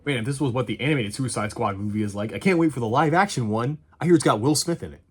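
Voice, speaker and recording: A man's voice: mid-range voice, fast talker. A man giving a casual scripted review commentary, with sarcastic dry humour and some swearing. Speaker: video game movie reviewer. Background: faint music.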